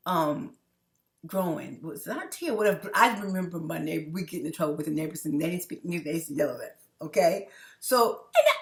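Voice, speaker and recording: A woman's voice: medium pitch, pushed and bright. Rambling woman talking to her viewers. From New York City. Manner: rambling, restarts often, keeps asking the viewers "okay?". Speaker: vlogger. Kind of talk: personal monologue to viewers.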